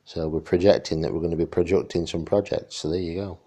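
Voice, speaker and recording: A man's voice: low voice, steady tone, fast talker, mellow. A middle-aged man gives a casual, hands-on restoration commentary in a chatty, rambling, dryly jokey way. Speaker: radio restorer presenting a demonstration.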